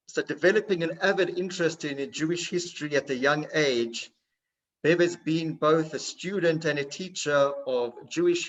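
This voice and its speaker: A man's voice: mid-range voice, a bit bright. A man hosting for a synagogue, introducing a guest speaker courteously, with many hesitations. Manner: courteous, halting, many uhs. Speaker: shul host introducing the speaker. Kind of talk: formal introduction. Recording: distant, dull video-call audio.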